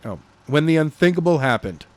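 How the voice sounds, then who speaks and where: A man with a slightly high voice reads a novel aloud as its narrator. His delivery is animated and homemade rather than polished. He stumbles over phrases and corrects himself as he goes. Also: no room sound. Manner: halting, stumbling read with self-corrections. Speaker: narrator reading a novel chapter. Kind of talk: animated amateur audiobook narration.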